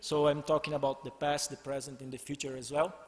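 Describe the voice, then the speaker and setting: A man's voice: a little high, level tone. A man in his forties with a Brazilian accent, giving a tribute talk at a podium and often restarting his phrases. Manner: halting, with many restarts and fillers. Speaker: conference speaker. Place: large glass-walled hall, indoors. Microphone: podium microphone. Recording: long hall reverb.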